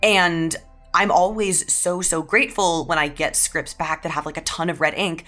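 Female podcast guest, writer, low register, conversational and emphatic. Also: steady tone, moderate pace, a bit bright, faint music behind. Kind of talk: casual panel discussion.

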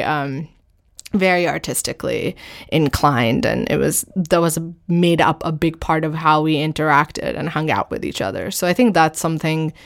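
Interview guest: woman from India, theatre director, low smooth voice, halting and self-deprecating. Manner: halting, self-deprecating, many fillers and laughs. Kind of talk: casual interview chat.

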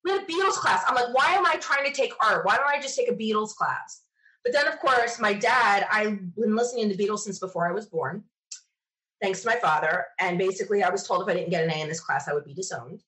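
A woman's voice: medium pitch, quick pace, low-quality recording. Chatty woman telling personal stories. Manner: chatty, animated quoting of self and others. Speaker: interview guest. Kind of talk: casual personal storytelling.

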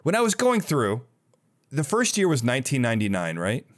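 A man's voice: medium pitch, wildly swooping pitch, a little fast. A man hosting a casual interview, talking in an informal, hedging way. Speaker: podcast host, interviewer.

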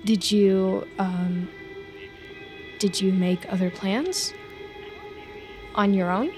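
An actress playing a needy, clingy young office worker in a scripted audio drama, hesitant and stammering. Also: female voice, mid-range voice, fairly even intonation, drawn-out words, a little mellow, soft background music. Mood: anxious, prying. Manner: hesitant, stammering, eager to please.

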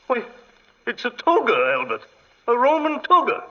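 An actor playing an excitable, fussy English gentleman archaeologist in 1940s radio style, often hesitant, with an English accent. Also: very high-pitched, normal speed, full character voice. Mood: excited. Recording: muffled 1940s radio broadcast.

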